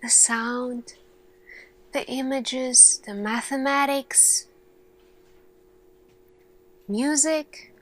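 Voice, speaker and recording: A woman playing an earnest lead scientist in an ASMR roleplay, speaking deliberately in short phrases with dramatic pauses. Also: high voice, poor audio. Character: earnest female lead scientist addressing her team. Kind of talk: slow ASMR roleplay monologue.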